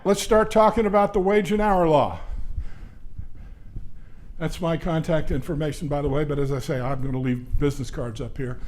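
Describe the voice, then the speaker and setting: Older guy giving a conference talk on a mic, loud voice, a bit high, lots of uhs. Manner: loud, brisk, conversational lecturer with frequent "uh" fillers. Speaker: labor law attorney presenting a seminar. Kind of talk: conference presentation. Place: conference session room. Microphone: handheld or stand microphone.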